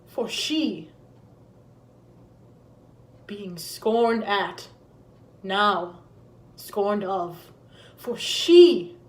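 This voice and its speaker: A woman's voice: mid-range voice, animated, extremely slow, long pauses. A woman playing a grieving, vengeful deposed queen in a Shakespearean stage monologue, declaiming solemnly and bitterly. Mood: scornful. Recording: echoey room.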